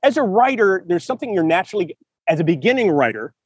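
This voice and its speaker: A man's voice: high-pitched, very animated, fast, breathy edge, no echo, highs cut off. A man hosting a podcast, giving encouraging writing advice in casual chat, often restarting phrases and laughing. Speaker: podcast host.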